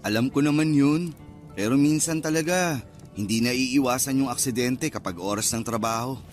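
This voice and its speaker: A man's voice: medium pitch, normal speed, faint music behind, lightly acted. A man playing a superstitious, easily spooked young man chatting in slang in a Filipino radio drama. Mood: uneasy. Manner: casual slangy banter, jittery. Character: superstitious, easily spooked young male cousin, construction worker.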